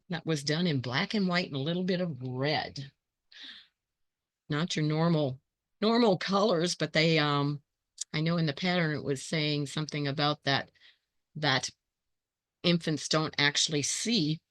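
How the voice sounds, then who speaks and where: Hoarse woman, unhurried talk, dry close sound, chatty crafter thinking aloud. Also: deep voice, a bit bright, no room sound. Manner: thinks aloud, greets viewers by name. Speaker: quilting channel host. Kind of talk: casual chat while sorting fabric.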